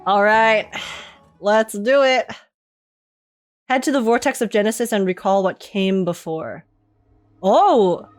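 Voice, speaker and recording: A woman streamer giving casual, excited gaming commentary, gushing and repeating phrases for emphasis in informal speech. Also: mid-range voice, takes it slowly, pauses often, faint music behind. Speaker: streamer reacting to a video game.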